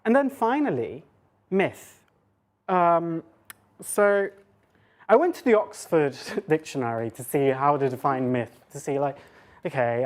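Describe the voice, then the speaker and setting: A woman's voice: deep voice, a little mellow. A woman lecturer giving an informal academic talk in a conversational way, often breaking into her own sentences with asides. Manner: conversational, self-interrupting asides.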